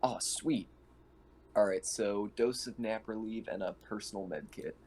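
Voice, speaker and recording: A man's voice: on the low side, unhurried, darkish tone, breathy edge. A man chatting casually as a roleplaying game player, dryly joking as he describes his character's actions.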